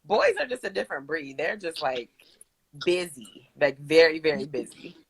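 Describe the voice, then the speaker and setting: A young woman from Kentucky, a guest telling lively stories with laughs. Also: sits low, normal speed, creaky, slight background noise, low-quality recording. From Kentucky, USA. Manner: animated, laughing storyteller. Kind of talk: casual interview.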